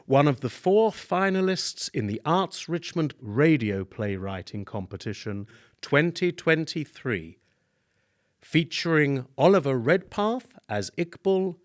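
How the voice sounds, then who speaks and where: A man reading a scripted credits announcement as an announcer. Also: mid-range voice.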